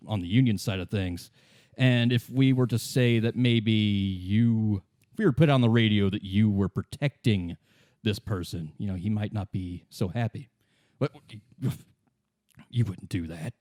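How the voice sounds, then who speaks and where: A man's voice: on the low side, a little mellow, no echo, studio quality, natural voice. A man voicing a bad cop in tabletop roleplay, casually insinuating and slyly threatening.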